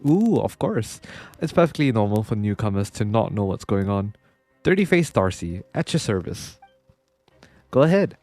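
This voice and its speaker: A cocky young inmate who talks himself up and keeps fishing for approval, read by a man in his own nasal voice. The voice sits fairly low and is recorded close and dry, with soft music running underneath. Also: big pitch swings, normal speed, no echo. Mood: chummy boastful. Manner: boastful, chummy, showing off. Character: cocky cheerful young male prison inmate.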